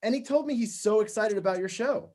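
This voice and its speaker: A man's voice: high voice, fairly quick, a little mellow, lightly acted. A man playing a breezy, slick TV network boss, glib and chummy, in a comedy table read.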